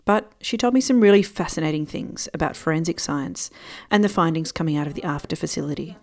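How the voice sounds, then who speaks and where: A woman podcast host with a lowish voice and plenty of vocal fry. She narrates in an informal, explanatory way, talking directly to the listener and putting questions to her guest. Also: quick pace, a little mellow. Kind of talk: conversational narration and interview.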